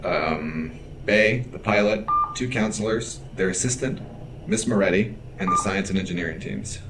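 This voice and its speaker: Male, actor as stoic mutinous security officer, deep and clipped, sci-fi audio drama, heavy background noise. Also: moderate pace, lightly acted. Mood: businesslike, clipped. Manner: clipped, matter-of-fact. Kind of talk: science fiction audio drama acting. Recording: loud background noise over dialogue.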